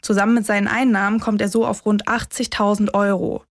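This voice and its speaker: A woman's voice: medium pitch, flat delivery, brisk, creaky. Woman narrating true crime. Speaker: podcast host.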